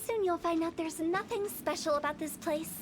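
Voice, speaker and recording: A woman's voice: very high-pitched, normal speed, background noise. A woman playing a playful, teasing teenage girl funeral director in a fantasy video game. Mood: breezy, playful.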